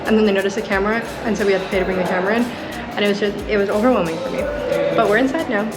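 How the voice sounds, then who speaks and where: A woman's voice: medium pitch, steady tone, racing, loud music behind the voice, rough recording. A woman chattily narrating a travel vlog in a casual way. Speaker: travel vlogger.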